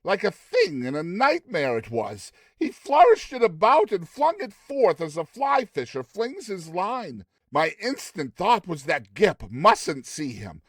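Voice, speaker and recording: A man giving a dramatized audiobook narration, theatrical and expressive, with deliberate phrasing. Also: high-pitched, no echo. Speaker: narrator of short story.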